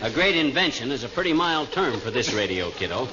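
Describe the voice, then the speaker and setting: An actor playing a boastful, slangy middle-aged amateur inventor in an old radio comedy. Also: male voice, mid-range voice, big pitch swings, brisk, crowd noise, natural voice. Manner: blustering, slangy, wisecracking. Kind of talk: 1940s radio comedy acting. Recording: muffled, noisy old radio recording.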